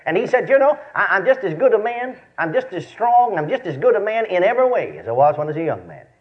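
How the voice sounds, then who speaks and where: A dull, lo-fi recording carries a Texan man in his fifties preaching. His voice sits a little high and moves with lively, animated pitch. He teaches like a folksy storyteller, repeating phrases to drive them home and checking that people are following. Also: fast. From Texas, United States. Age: 50s.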